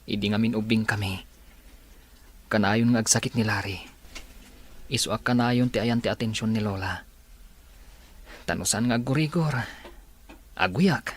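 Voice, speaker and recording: A man voicing a sick young grandson in a radio drama, soft and apologetic. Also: on the low side, moderate pace, pauses often, slightly rough. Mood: wistful. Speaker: patient character. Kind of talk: Ilocano radio drama acting.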